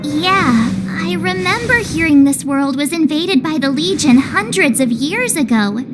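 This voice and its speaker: A woman playing a perky, outspoken young adventurer in a video game, quick to react and a little wry. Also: high voice, animated. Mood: curious. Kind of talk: animated game dialogue. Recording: loud music under the voice.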